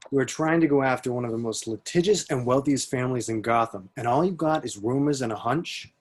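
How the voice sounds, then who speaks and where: A man's voice: medium pitch, a little fast, lightly acted. A man playing a stern, skeptical police captain in an amateur table read. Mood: stern, dismissive.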